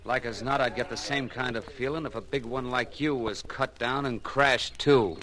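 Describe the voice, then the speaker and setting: An actor playing a folksy American lumberjack with quiet resentment and menace in a 1940s radio drama. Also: male voice, mid-range voice, fairly even intonation, moderate pace, lightly acted. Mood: resentful, menacing. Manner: folksy, rustic, slyly menacing. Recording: noisy old 1940s radio recording.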